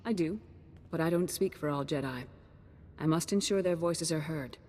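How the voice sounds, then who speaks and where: A woman voicing a grave, diplomatic female Jedi master in a video game, formal and measured. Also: deep voice, a little mellow. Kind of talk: video game cutscene voice acting. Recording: echoey hall with faint noise.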